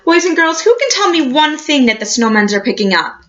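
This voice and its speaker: A woman reading a picture book aloud like a teacher, expressive and encouraging, addressing young children. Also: high voice, brisk, highs cut off. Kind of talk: storybook read-aloud with questions to a class.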